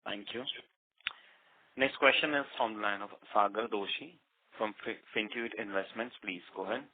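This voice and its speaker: Man, call operator, formal, fairly low, dry telephone audio. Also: steady tone, moderate pace, no room sound. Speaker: conference call moderator. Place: conference call over phone line. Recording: dry, narrow phone line.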